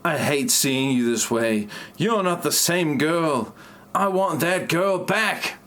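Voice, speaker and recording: Man acting a slow, stilted, aggrieved novelist. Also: fairly high pitch, expressive, fairly bright tone. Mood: pleading. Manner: stilted, robotic delivery. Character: stiff, aggrieved male novelist and self-styled hacker. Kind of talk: amateur parody audio drama acting.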